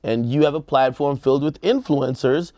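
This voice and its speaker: A man's voice: medium pitch, normal speed, warm tone, dry recording, clean studio sound. A man co-hosting a casual panel talk, giving emphatic opinions with plenty of slang and swearing. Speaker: show co-host giving opinions.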